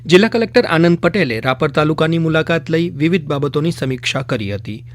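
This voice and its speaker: A man presenting radio news, reading a script in a formal, measured way. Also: a little high, expressive, faint music behind.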